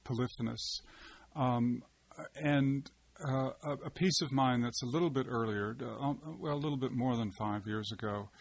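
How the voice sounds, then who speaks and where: A man, a poet, answering interview questions in a halting, reflective way, often pausing with fillers and restarting his sentences as he searches for words. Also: mid-range voice. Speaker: poet and translator, interview guest. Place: seated at a table.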